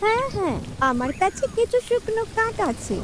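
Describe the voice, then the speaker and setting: A woman's voice: extremely high pitch, sing-song, moderate pace, background noise, voice pushed up. A woman voicing a lazy, scheming wife crow in a children's cartoon, whining and bossy. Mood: calculating. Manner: whining, bossy, self-serving. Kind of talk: children's cartoon fairy-tale acting.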